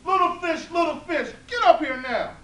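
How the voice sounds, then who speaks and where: A woman doing a shrill, greedy old woman from a folktale, her voice leaping high and loud as she pleads and demands. The voice swoops widely and rings in an echoey room, picked up from a distance over a steady hum. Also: normal speed. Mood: demanding.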